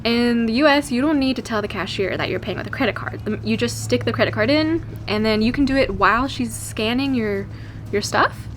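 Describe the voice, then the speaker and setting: Young American woman vlogger, light higher voice, chatty and giggly; faint low rumble. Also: a little fast. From California. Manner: chatty, giggly, lively storytelling. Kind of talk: casual chat to camera.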